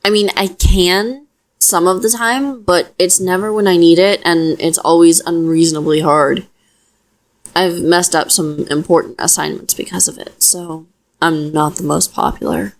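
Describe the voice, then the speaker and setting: A woman playing a protective, self-deprecating young witch in an audio roleplay, talking softly and reassuringly to the listener. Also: lowish voice, fairly even intonation, measured pace. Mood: sheepish, self-deprecating.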